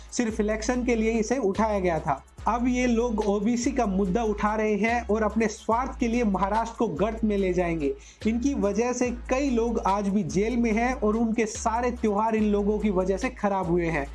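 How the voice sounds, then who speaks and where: A woman's voice: mid-range voice, darkish tone. A woman presenter reading a scripted news report in a formal manner, addressing viewers directly. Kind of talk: scripted news narration for an online channel.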